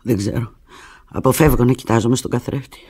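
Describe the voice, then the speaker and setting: An actress playing a desperate, lovelorn woman on the telephone to the lover who left her, in a theatrical radio drama monologue, halting and emotional with a breathy, husky voice. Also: very deep voice. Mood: sad, tender. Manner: halting, emotional, swinging from hushed murmurs to urgent cries.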